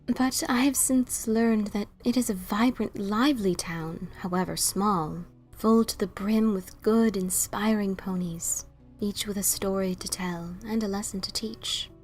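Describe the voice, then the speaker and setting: Casting: woman as a wise, enigmatic female pony, measured and formal, medium pitch, soft music underneath, fan audio drama. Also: steady tone, close to an everyday voice. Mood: reflective. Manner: formal, measured, gently probing. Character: calm, wise, mysterious female unicorn pony.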